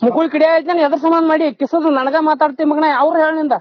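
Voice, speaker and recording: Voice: high voice, steady tone, fast talker. A combative, foul-mouthed person, scolding and arguing heatedly over the phone. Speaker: prank call recipient. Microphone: phone call. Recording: dull muffled phone call recording.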